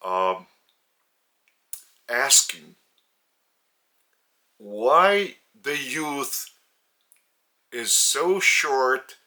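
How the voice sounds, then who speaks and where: A man with a Lithuanian accent delivers a spiritual teaching at home, speaking in English rather than his native Lithuanian. His voice sits a little low yet has a bright, forceful edge. He moves very slowly and deliberately, leaving long pauses between phrases. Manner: slow, deliberate and earnest, with many pauses. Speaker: religious teacher. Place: indoors at home.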